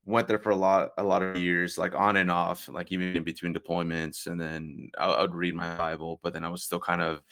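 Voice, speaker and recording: Young man, very deep voice, gravelly fry, rambling casual talk, lots of fillers. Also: level tone, a little fast, hardly any pauses, fairly bright tone. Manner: rambling, many fillers and restarts. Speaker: podcast guest. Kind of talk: casual conversation.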